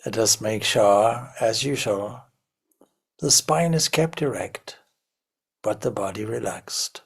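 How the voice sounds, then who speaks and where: A man leading a guided meditation as a teacher, giving gentle, measured instructions with long pauses between phrases. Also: lowish voice, vocal fry, husky.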